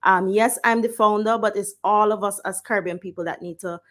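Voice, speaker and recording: A woman giving the opening address of an online conference as its founder. She speaks in an organized way at a middle pitch and stops to thank the people who helped. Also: fairly even intonation, fairly quick, a bit bright.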